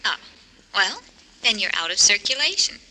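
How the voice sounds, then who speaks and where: Bright-voiced flirtatious sweetheart. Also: female voice, medium pitch, sing-song, normal speed, heavily acted, low-quality recording. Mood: coaxing, pouting. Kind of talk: 1940s radio acting. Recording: 1940s radio drama recording.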